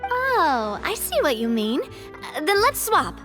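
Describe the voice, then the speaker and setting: A woman's voice: sits high, very animated, slow pace, fairly bright tone, soft background music, full character voice. An actress playing a confident young woman who leads a guild, decisive and upbeat, in a game cutscene. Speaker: guild leader. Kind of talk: video game cutscene dub.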